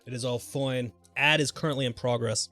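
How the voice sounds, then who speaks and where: A man's voice: mid-range voice, steady tone, pushed and bright. Man rambling casually over background music. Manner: halting, thinking aloud, mildly sweary. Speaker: video game livestreamer. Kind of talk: casual gameplay commentary and rambling chat.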